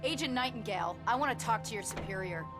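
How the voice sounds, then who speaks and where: Small-town sheriff, female actor: firm, assertive, brisk authority, very expressive pitch, cutscene score underneath. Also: over music, natural voice. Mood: firm, exasperated. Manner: assertive, decisive, businesslike. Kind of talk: video game cutscene acting.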